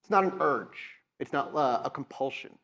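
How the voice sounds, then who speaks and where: A man with a mid-pitched voice works as game master of a live tabletop game. He narrates the scene to his players. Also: lively intonation, normal speed, a bit bright, lo-fi sound. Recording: live convention stage recording.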